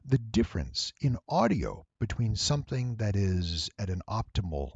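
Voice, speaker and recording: A man with a low, slightly rough voice walks through a tutorial. He explains deliberately and with emphasis in a very dry, close recording. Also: sing-song, darkish tone, no echo, muffled recording. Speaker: voice actor giving an audio editing tutorial. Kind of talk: explanatory screen-recording narration.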